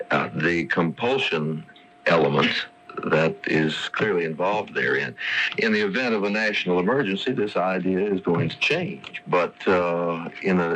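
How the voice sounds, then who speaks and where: An American male spokesman gives a formal, halting statement. His voice is deep, and the news tape carries faint noise and hum. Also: a bit bright, low-quality recording. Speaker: government spokesman.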